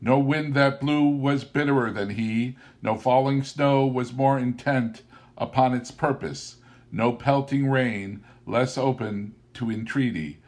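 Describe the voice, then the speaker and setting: A man reading a classic story aloud as a narrator, with deliberate, theatrical and emphatic phrasing. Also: medium pitch, a little mellow. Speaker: audiobook narrator.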